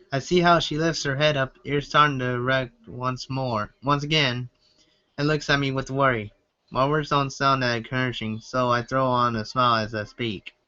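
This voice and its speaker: The recording is dull and lo-fi. A man with a bright, somewhat sharp voice reads a first-person story aloud. His delivery is amateur and halting, with stumbles over words. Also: medium pitch. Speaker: amateur fan fiction reader.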